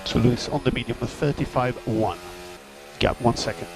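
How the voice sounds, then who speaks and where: A man speaks as a race engineer over team radio, in a medium-pitched voice. He gives short, matter-of-fact technical updates in clipped phrases. A clear layer of hum and mechanical noise sits behind him. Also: animated, brisk.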